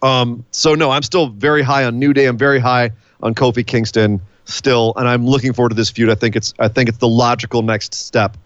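A man's voice: medium pitch, a little fast, few pauses, dry recording, lo-fi sound. Man chatting casually on a podcast. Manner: animated, playful, hypes announcements. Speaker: podcast co-host. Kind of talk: casual wrestling podcast chat.

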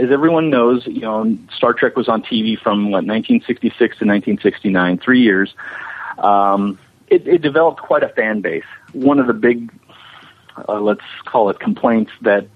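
A husky-voiced man speaks as a guest in a casual interview, explaining in a self-deprecating, anecdotal manner. His voice comes over a muffled, lo-fi connection. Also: medium pitch. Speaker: interview guest, special effects animator.

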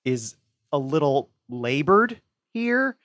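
A man as a podcast guest, discussing a book critically in a measured, thoughtful, conversational way. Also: on the high side, very animated, fairly bright tone, no room sound, clean studio sound.

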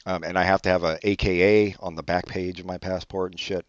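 Deep-voiced male co-host, dry and rambling, muffled dry recording. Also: little pitch movement, fairly quick, no room sound. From born in Brazil, raised in California. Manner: dry, rambling, swears casually. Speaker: podcast co-host. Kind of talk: casual comedic chat. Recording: dull dry lo-fi audio.

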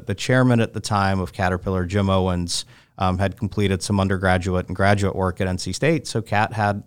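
Male guest, 30s, deep and resonant, easygoing storyteller, dry studio sound. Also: smooth, no echo. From Russia. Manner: explains at length in a relaxed, conversational way. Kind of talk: casual interview conversation.

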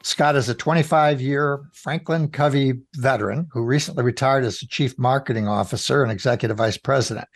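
A man's voice: medium pitch, no echo. Older man hosting, in a dry room. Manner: formal, reads introductions. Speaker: host. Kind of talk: interview hosting.

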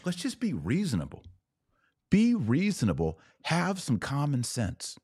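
A man's voice: mid-range voice, wildly swooping pitch, measured pace, a little mellow, husky, no echo, studio quality. An American man hosting a podcast, explaining religion informally and emphatically, posing rhetorical questions and answering them himself. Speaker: podcast host answering viewer comments.

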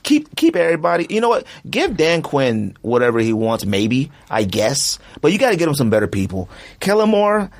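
A man's voice: a little high, normal speed, creaky, few pauses. A man co-hosting a podcast, ranting in a loose, slangy, rambling way about a football team's season. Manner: animated, rambling, slangy rant. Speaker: podcast co-host. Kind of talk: casual sports chat.

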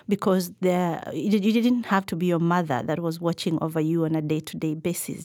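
Thoughtful woman from Kenya, lowish voice. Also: a little mellow, no room sound, clean studio sound. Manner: thoughtful, measured, with fillers. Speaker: podcast guest. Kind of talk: reflective interview answers.

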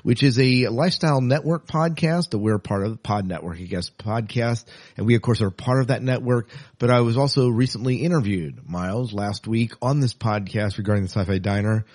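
A man's voice: on the low side, fairly quick, few pauses, darkish tone. A man hosting a podcast in a casual, chatty style. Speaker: podcast host.

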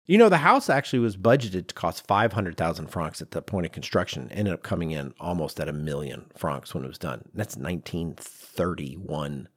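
A man's voice: low voice, expressive, normal speed, hardly any pauses, no echo, clean studio sound. A man, telling stories as a podcast co-host in an emphatic, self-deprecating, conversational way. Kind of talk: animated storytelling in casual podcast chat.

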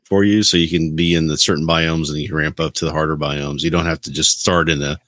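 A man's voice: rumbling low, fairly even intonation, fast, fairly bright tone, no room sound, clean studio sound. A man on a podcast panel talking casually about video games.

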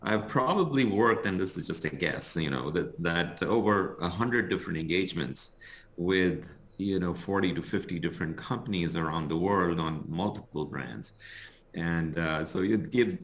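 A middle-aged man from India speaks as an interview guest, his voice very deep and low. He explains his points in a measured way, leaning on "you know" and "um" as he thinks over a call. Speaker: guest author and consultant. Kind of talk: interview answers. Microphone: remote call recording.

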